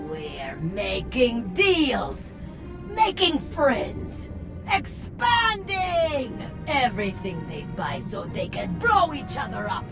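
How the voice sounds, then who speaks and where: A woman's voice: on the high side, exaggerated voice. An actress playing a bold rebel queen and crime boss, giving a grand, emphatic rallying speech in a game. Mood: defiant, rousing. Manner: emphatic, theatrical, deliberate pauses. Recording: music under the voice.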